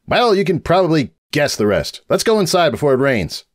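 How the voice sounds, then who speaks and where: A man voicing an overconfident, theatrical undead young female pony scholar, brisk and bossy, in a dramatic storybook reading. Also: on the high side, big pitch swings, voice pushed up. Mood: confident. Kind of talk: dramatic fan fiction reading.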